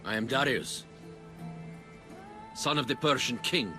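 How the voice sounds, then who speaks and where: An actor playing a proud, earnest young Persian prince in a video game, speaking formally. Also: male voice, mid-range voice, a little slow, over music, natural voice. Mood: proud, wistful. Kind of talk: dramatic video game acting.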